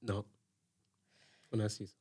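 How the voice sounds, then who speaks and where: A man's voice: slow, warm tone. A man co-hosting a podcast and running a guessing game, teasing his partner and laughing often. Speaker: podcast co-host.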